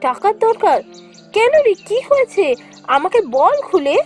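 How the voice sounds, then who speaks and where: A woman's voice: very high-pitched, big pitch swings, a little slow, faint music behind, full character voice. A woman voicing a kindly, motherly mistress of a landlord's house in a cartoon fairy tale, soothing and familiar. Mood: concerned.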